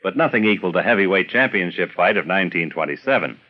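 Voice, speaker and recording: Deep-voiced older male radio narrator. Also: expressive, fast talker, muffled recording. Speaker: documentary narrator. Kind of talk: scripted narration with reminiscence.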